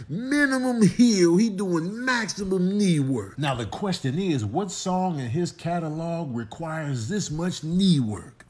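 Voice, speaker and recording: A man giving comic voiceover commentary with exaggerated mock amazement and self-deprecation. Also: high-pitched. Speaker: comedian.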